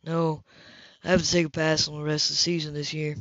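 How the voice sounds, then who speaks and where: A woman's voice: deep voice, level tone, fairly quick, dry recording, voice pushed down. A woman voicing a cocky, flirtatious male racer in an audiobook, casual and teasing. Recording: dull lo-fi recording.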